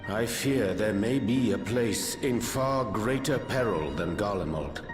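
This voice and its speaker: Casting: male actor for solemn, courtly game hero, low and deliberate, archaic formal diction, reverberant with orchestral backing. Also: music in the background, natural voice. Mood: grave concern. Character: grave, courtly male scholar-warrior with archaic speech. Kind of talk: fantasy video game cutscene acting.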